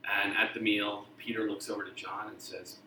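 Texas-born pastor preaching in a roomy church. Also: male voice, fairly low pitch, flat delivery, normal speed, sharp tone, distant mic, a little hiss or hum. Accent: mostly faded Texas. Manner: conversational, self-deprecating humor. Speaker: guest pastor. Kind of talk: sermon. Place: church sanctuary. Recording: roomy church sound from off-mic.

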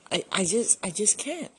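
A woman's voice: mid-range voice, slight background noise. A middle-aged woman with a Malaysian English accent, talking casually to camera in a chatty, self-deprecating way. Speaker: YouTuber.

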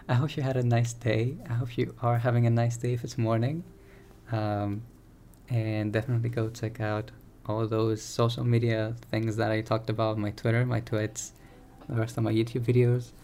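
Candid young man with a gravelly voice. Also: mid-range voice, little pitch movement, normal speed, warm tone, a little hiss or hum. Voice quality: soothing. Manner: rambling, self-correcting, candid. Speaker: streamer. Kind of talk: casual personal podcast monologue. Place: home room.

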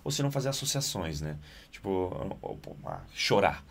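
Animated actor, low voice. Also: male voice, moderate pace, creaky. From Naples, Italy. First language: Italian. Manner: animated, rises in pitch when excited. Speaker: actor guest. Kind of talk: interview conversation.

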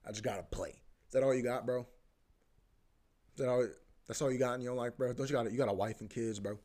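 A man's voice: medium pitch, steady tone, a little fast, warm tone. A man hosting a podcast, rambling casually about sports, repeating himself, swearing and saying "bro" a lot. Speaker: podcast host.

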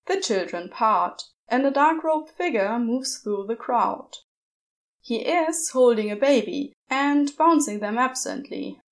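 Woman narrator, higher voice, slow measured reading, storytelling. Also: expressive. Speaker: podfic narrator.

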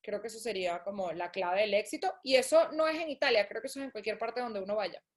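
A woman from Venezuela, a guest telling stories in a casual interview, animated and often acting out other people's words. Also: mid-range voice, quick pace. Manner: lively, acts out quoted people, laughs often. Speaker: guest, shoe designer.

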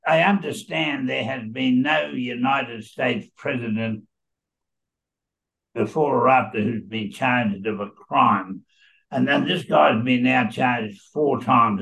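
A man's voice: mid-range voice, vocal fry, fairly bright tone, rough recording. An older man co-hosting a podcast, talking politics in an emphatic, rambling way with many restarts. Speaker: podcast co-host. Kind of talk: casual political chat.

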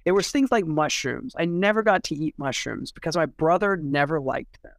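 Male poetry podcaster: raised pitch, heavy vocal fry, casual delivery that circles and repeats itself. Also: expressive, no room sound. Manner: halting, rambling, repeats words as thoughts form. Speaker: poetry editor discussing poems on a podcast. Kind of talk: casual discussion with a short read-out.

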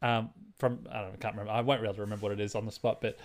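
A man's voice: on the low side, level tone, racing. A man talking casually as co-host of a podcast on movies and video games. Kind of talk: casual movie and game chat.

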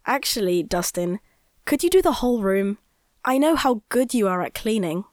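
A woman's voice: mid-range voice, lively intonation, moderate pace, darkish tone, dry recording, natural voice. Woman playing casual young man, dry recording. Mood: sheepish, coaxing. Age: young adult. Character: casual, apologetic young man asking for help. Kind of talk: children's audio drama acting.

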